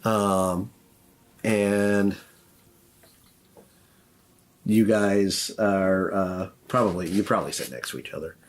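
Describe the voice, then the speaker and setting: A man narrating a tabletop horror game as game master, halting and pausing as he goes. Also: deep voice.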